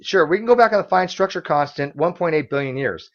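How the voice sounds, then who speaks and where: A hoarse man hosting an online debate, pushing back with quick, forceful rebuttals full of restarts. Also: a little high, animated, lo-fi sound. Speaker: debate host.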